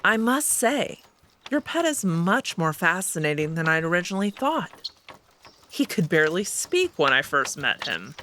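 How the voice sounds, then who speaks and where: A woman's voice: fairly low pitch, big pitch swings, normal speed, bright, forceful tone, a little hiss or hum. A woman playing a haughty, teasing female alien wolf noble in a visual novel, arch, composed and dismissive. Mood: intrigued.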